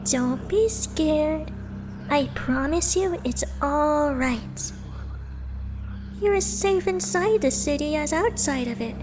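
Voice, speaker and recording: A woman playing a mysterious, gentle young woman guide in a visual novel fan dub, coaxing softly and reassuringly. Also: high voice, animated. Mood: soothing. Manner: soft, slow, reassuring, enigmatic. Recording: noisy ambience behind the voice.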